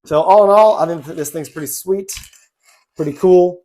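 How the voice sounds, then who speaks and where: Male product reviewer, voice a bit on the high side, informal and unscripted, walking through features with repetitive patter. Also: moderate pace, mellow. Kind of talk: casual hands-on product demonstration.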